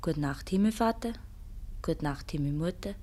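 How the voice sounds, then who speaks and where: A woman's voice: low voice, animated, normal speed, warm tone, a little hiss or hum. A woman playing a shrewd, practical young cook in a Bavarian dialect radio drama from the 1950s, dry and matter-of-fact. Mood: devout, weary.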